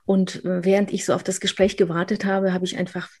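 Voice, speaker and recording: Polish-accented woman, slightly husky voice. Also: mid-range voice, level tone, brisk, a little mellow. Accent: Polish, rolled R. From Poland. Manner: candid storytelling with many fillers and restarts. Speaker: interview guest, alumna. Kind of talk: casual interview talk.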